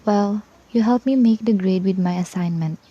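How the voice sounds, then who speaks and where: A woman's voice: medium pitch, moderate pace, no echo, close to an everyday voice. A woman playing a dry-witted student sister in an amateur radio play. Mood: impressed. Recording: dull lo-fi dry recording.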